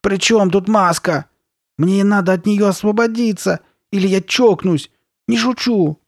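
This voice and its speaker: A man's voice: high voice, expressive, unhurried, a little mellow, no room sound, studio quality, pitched above the performer's own voice. A man voicing a panicking, hot-tempered girl in a horror storybook, wailing and exclaiming desperately. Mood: furious. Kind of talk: dramatised horror storybook reading.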